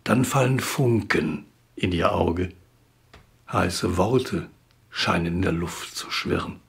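A man giving an expressive poetry recitation, deliberate and phrase by phrase, with weighted pauses. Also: sits low, vocal fry, husky. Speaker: poetry reader.

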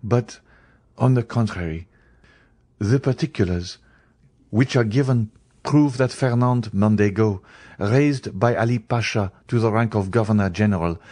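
A man's voice: on the low side, a little slow, warm tone, no echo. A man voicing an earnest young male journalist, a grave and loyal friend, with emphatic, formal delivery in an audiobook. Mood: compassionate, solemn. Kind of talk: dramatised audiobook reading.

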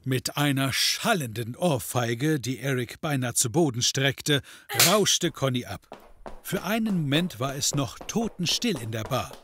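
A man's voice: medium pitch, expressive, measured pace, fairly bright tone, a little hiss or hum. A man narrating a scripted comedy audio drama in a wry storytelling manner.

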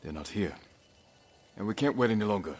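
An actor playing a determined young samurai hero in a video game cutscene, giving quiet, steady commands. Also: male voice, lowish voice, fairly quick. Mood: calm. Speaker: lead character. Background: orchestral game score.

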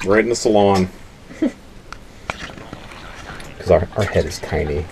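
A man's voice: low-pitched, unhurried, a little mellow, a little hiss or hum, low-quality recording. A man, chatting casually and dryly as host of a sailing vlog. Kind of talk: casual chat. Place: sailboat, open deck and wooden cabin.

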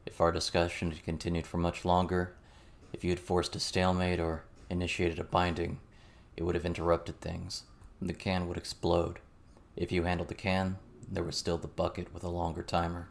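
A narrator, a man, plays a serious, guarded man in an audiobook. He speaks low and measured, explaining things calmly without warmth or fuss. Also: gravelly. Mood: matter-of-fact. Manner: measured, matter-of-fact.

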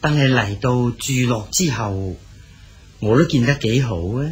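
A man's voice: medium pitch, unhurried, husky. An actor playing an exiled male poet official in his sixties, easygoing and consoling in a radio drama. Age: 60s. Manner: calm, good-humoured, consoling.

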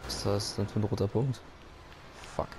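A man's voice: lowish voice, fairly even intonation, moderate pace. A man giving rambling, joking commentary while playing a driving game. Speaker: gaming YouTuber. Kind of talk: casual let's-play commentary. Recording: noisy gameplay recording.

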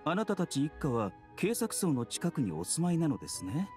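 Casting: gentle, composed physician, lightly high male voice, expressive pitch, anime game. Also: normal speed, faint music behind. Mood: calm. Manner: soft-spoken polite formal phrasing. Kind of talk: Japanese anime game voice acting.

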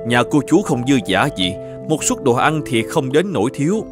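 A kindly older male eatery owner from an animated moral tale, played by a man. He speaks in a middle-pitched voice with a calm, reassuring manner. Light music sits underneath. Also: normal speed, natural voice. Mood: gentle, reassuring.

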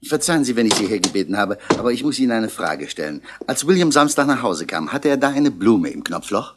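An actor playing a composed police commissioner who questions tersely and courteously in a 1960s radio play. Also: male voice, lowish voice, big pitch swings, quick pace, breathy edge. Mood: courteous, probing.

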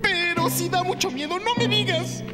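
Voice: extremely high pitch, moderate pace. An actor voicing an anxious, wry male feathered dinosaur in a cartoon dub, fretful and dramatic. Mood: terrified. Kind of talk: animated series dub. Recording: reverberant, loud music behind.

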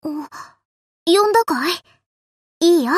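A woman's voice: extremely high pitch, expressive, unhurried, no room sound, studio quality. A woman voicing a cheerful, affectionate, boyish young ship girl in an anime game, with a playful, casual tomboyish way of talking. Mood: playful, caring. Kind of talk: anime game character voice lines.

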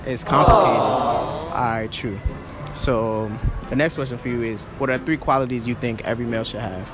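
A man's voice: mid-range voice, over loud music. A young man hosting a casual street interview in a shopping mall, asking questions in a slangy, upbeat way and speaking into a phone. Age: young adult. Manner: slangy, upbeat, quick back-and-forth. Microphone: phone held to mouth.

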